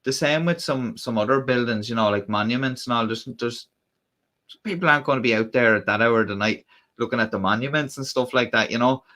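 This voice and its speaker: An Irish man chats loosely to his livestream viewers, wandering from point to point with plenty of ums and false starts. His voice is bright and forward, sitting at an ordinary middle pitch. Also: brisk. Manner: rambling, many fillers and restarts. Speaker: livestream host. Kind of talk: casual live-stream chat.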